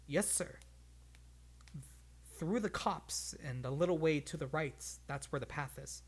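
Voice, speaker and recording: A storyteller voicing a little hairy forest man from a fantasy storybook, gruff and bossy. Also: mid-range voice, measured pace. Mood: brisk, assured.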